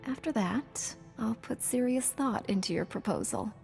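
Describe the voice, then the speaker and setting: Composed space knight in reverb. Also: female voice, a little high, lively intonation, moderate pace, creaky, dark tone, soft background music. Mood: warm, composed. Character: composed, kindly female Jedi, dryly tactful leader. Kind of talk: scripted video game acting.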